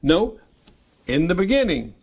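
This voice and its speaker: A man in his nineties preaching a sermon as pastor, repeating key phrases slowly and with emphasis. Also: sits high, very animated, a little mellow, breathy edge. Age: 90s.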